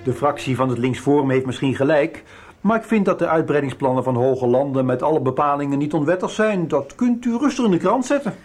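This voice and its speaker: A man's voice: fairly high pitch, expressive, a little fast, darkish tone, soft background music, lightly acted. Man playing a defensive, self-assured businessman. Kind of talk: radio soap acting.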